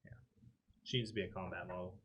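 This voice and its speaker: Low-voiced man chatting at a game table. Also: steady tone, fast, muffled recording, over a noisy crowd. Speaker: tabletop roleplaying player. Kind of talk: casual group chat. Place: casual group gaming session.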